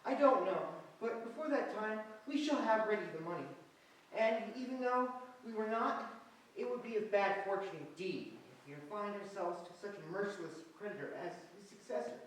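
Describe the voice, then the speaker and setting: Guy doing nervous gentle husband, stumbly and reassuring, stage reading, really echoey hall. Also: sits high, moderate pace. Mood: hesitant, relieved. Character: gentle, hesitant, relieved debtor husband. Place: small hall stage with audience, far camera. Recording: very echoey hall, distant microphone.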